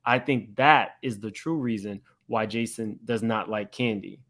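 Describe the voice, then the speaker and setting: A man giving gossip commentary as he reacts to clips, spelling out his points in a measured, deliberate way. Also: on the low side, fairly bright tone.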